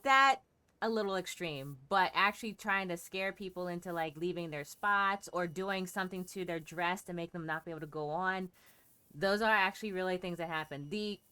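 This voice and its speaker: A woman's voice: lowish voice, moderate pace, bright, forceful tone, clear, resonant tone. A woman giving casual, chatty reaction commentary while playing a game, with theatrical asides.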